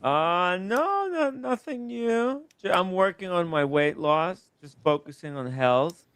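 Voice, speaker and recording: A man's voice: sits high, lively intonation, slow, a bit bright, clean tone, no room sound. A man hosting a casual livestream chat, thanking viewers and guests with enthusiasm. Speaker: host.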